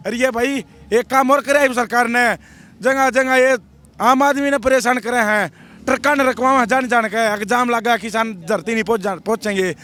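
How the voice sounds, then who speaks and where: A young man speaks with a Haryanvi accent, his very high voice strained and hoarse. He talks bluntly and defiantly, rambling from point to point like a farmer answering a reporter in the street. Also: fairly even intonation, moderate pace, a bit bright. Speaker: protesting farmer. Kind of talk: outdoor street interview.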